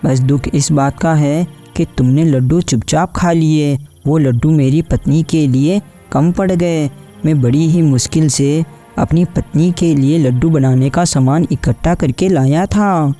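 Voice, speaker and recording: An actor plays an earnest, clever villager, a husband with little money, in an animated folk story. The voice is soft-edged and of medium pitch. Faint music runs underneath. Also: unhurried, close to an everyday voice. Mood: earnest, regretful. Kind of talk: Hindi cartoon story acting.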